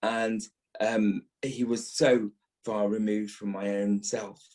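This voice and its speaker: A man's voice: fairly low pitch, level tone, slow, darkish tone. A man, an actor, talking reflectively as an interview guest, stressing his points by repeating words. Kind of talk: reflective podcast interview talk.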